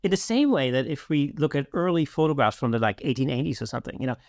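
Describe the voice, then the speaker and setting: A man's voice: medium pitch, lively intonation, fast, dry recording, clean studio sound. A middle-aged man hosting a podcast, talking casually and conversationally. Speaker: podcast host.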